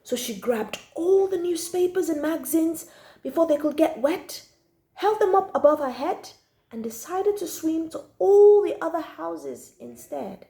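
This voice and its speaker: Slow, high-voiced woman reading a storybook. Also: expressive, creaky, dark tone. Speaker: storyteller reading a children's book aloud on own channel. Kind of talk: expressive children's storybook read-aloud.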